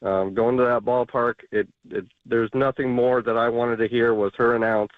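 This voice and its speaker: A man's voice: mid-range voice, fairly even intonation, moderate pace, dry recording. A man calling in to a sports radio show, sentimental and earnest. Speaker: caller. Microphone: phone. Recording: tinny phone line.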